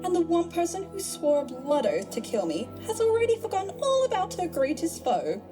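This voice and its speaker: Audio drama villainess: crazed genie, sadistic and singsong, high-pitched, very animated, music behind. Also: female voice, a little fast. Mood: gleeful menace. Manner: taunting, theatrical, singsong villainy. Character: gleefully sadistic possessing psycho bunny genie woman. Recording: music under the voice.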